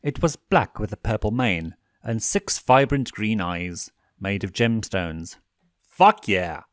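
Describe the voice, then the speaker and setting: A man reads fan fiction as a narrator. His voice sits on the low side and moves with lively intonation. The sound is dry, with little room around it. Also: a little slow, dry recording. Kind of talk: expressive scripted story narration.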